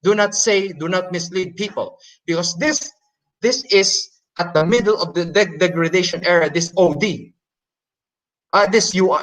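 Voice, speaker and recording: Man, hesitant presenter, non-native English, higher voice, bright and forceful tone. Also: rough recording. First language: Hokkien Chinese. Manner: hesitant, many fillers and restarts. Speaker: debate presenter. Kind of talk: halting explanatory presentation.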